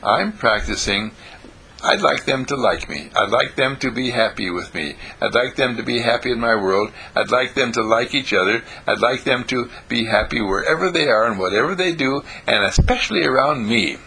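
A man's voice: mid-range voice, expressive, moderate pace, creaky, bright, forceful tone, breathy edge, exaggerated voice, poor audio. A man voicing an earnest, chatty four-year-old boy who speaks in repetitive, childlike phrases. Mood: eager, innocent.

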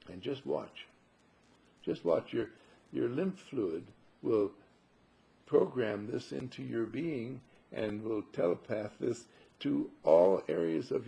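A man gives a spiritual talk in a very husky, gravelly voice with a mellow, dark tone. He teaches through rhetorical questions and leans on repeated phrases to drive each point home. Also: mid-range voice. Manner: rhetorical questions, emphatic repetition.

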